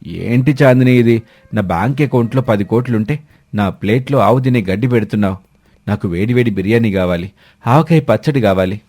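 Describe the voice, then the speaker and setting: A man's voice: fairly low pitch, a little fast, darkish tone, lightly acted. A man voicing a lazy, arrogant, newly rich man, pompous and demanding, in a story. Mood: complaining.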